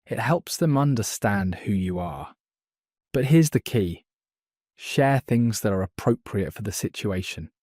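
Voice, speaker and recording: A man gives a beginner English lesson in a slow, carefully paced voice. His voice sits a little low. The recording is dry and close, with no room sound. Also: a little mellow, no echo. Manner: slow, clear, deliberate delivery for learners. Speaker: English teacher. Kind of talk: slow scripted lesson narration.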